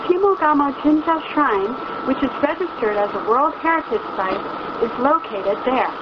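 A woman reading scripted bus announcements for tourists in a measured, formal way. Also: sits high, lively intonation. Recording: muffled recording inside a noisy moving bus. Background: loud vehicle noise.

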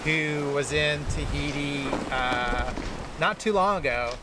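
A young man hosting a sailing vlog, chatting casually with lots of fillers from his boat at sea. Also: fairly high pitch. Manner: relaxed, halting, many fillers. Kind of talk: casual chat to camera. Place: on a sailboat at sea, outdoors. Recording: wind and engine noise at sea.

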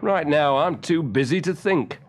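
A man plays a busy circus worker in a storybook tale. He is sceptical and teasing, and his pitch rises and swings expressively. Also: lively intonation, unhurried, a little hiss or hum. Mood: grudging approval. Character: busy circus man, gruffly amused, unhurried.

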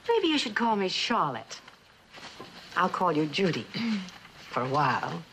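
An actress playing a composed middle-aged mother searching for her lost daughter, measured and questioning, in a vintage television suspense drama. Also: on the low side, sing-song, a bit bright. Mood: careful, searching. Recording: noisy vintage TV soundtrack.

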